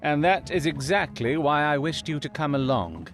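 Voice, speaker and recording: A man playing a brilliant, proud Victorian gentleman detective in an audio drama, with formal, eloquent and theatrical speech. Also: a little high, lively intonation, normal speed, music in the background. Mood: brisk.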